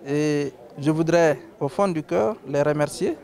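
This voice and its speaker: A man from Guinea, a legislator, giving a measured public speech with frequent hesitations. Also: fairly high pitch, creaky, a little mellow, a faint crowd. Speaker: legislator on a tour.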